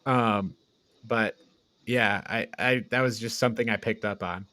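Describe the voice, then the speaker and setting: A man hosting a casual movie review chat, talking in an informal, halting way peppered with slang. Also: on the low side, fairly even intonation, bright, forceful tone. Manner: informal, halting, frequent "like" and "dude". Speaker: host of a media review podcast.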